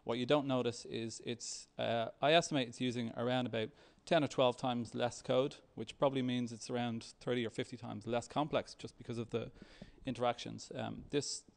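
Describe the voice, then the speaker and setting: Man, developer giving a tech talk, gravelly fry, average pitch, hesitant phrasing. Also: fairly even intonation, normal speed, hardly any pauses. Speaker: software developer presenting at a tech meetup. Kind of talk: conference talk with live demo.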